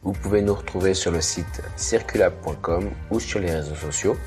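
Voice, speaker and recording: A man's voice: deep voice, normal speed, darkish tone. A man co-host reading a scripted podcast introduction. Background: music.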